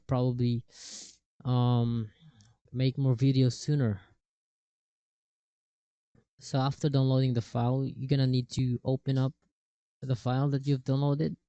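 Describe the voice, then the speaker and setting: A man narrating a casual step-by-step software tutorial, with somewhat halting phrasing. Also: medium pitch, smooth, dry recording, dull audio. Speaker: tutorial host. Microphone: cheap desktop microphone with software processing.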